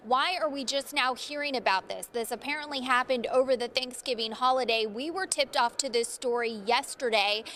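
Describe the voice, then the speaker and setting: A woman's voice: high voice, a bit bright. A woman giving a live TV news report on location from an airport. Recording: live location audio with background noise.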